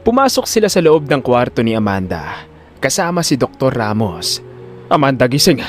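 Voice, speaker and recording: Animated Filipino man narrating dramatic stories. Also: medium pitch, normal speed, soft background music. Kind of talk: dramatic scripted storytelling in Tagalog.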